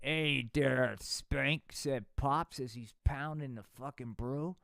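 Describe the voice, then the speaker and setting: A man voicing a lazy, crude, drunk middle-aged dad who blusters loudly. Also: mid-range voice, slow, gravelly, no room sound, studio quality. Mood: blustering.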